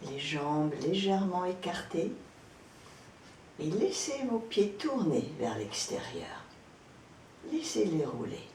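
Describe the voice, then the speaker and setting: A woman leading a guided yoga relaxation as an instructor, speaking calmly and gently with frequent pauses. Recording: roomy echo, distant mic, background noise, rumble.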